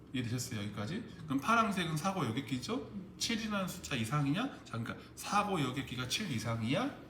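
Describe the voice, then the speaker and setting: Male instructor, exam-prep lecture, animated up-and-down delivery, constant comprehension checks, echoey room, audible background noise. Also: mid-range voice. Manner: explains step by step, keeps checking understanding with questions. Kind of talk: recorded lecture on spreadsheet formulas.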